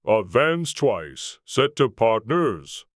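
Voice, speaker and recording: Man as a slow, mournful turtle creature. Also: medium pitch, big pitch swings, no echo, clean studio sound. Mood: earnest. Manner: slow, plaintive. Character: mournful, sentimental old mock turtle creature. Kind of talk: dramatized audiobook character.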